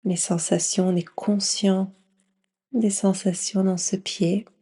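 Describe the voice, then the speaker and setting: Woman, meditation guide, very slow, mellow and soft, soothing near-whisper, long pauses. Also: mid-range voice, little pitch movement, breathy edge. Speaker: yoga nidra meditation guide.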